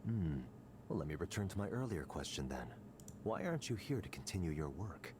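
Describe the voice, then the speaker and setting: An actor playing a smug, calculating tech executive in a video game, speaking in a smooth, condescending, deliberate way. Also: male voice, deep voice, sing-song, background noise. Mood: probing, needling. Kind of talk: scripted visual novel dialogue.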